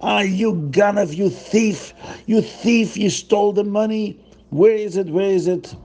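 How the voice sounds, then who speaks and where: Shrill accusing mob, one man voicing them, high and forceful, gravelly. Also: unhurried. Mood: angry. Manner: shrill, demanding. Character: angry accusing village crowd.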